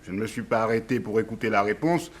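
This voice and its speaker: A man's voice: on the low side, fast, natural voice. A man playing a servile, naive and overworked plantation labourer in a 1960s French radio drama. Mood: plain, submissive.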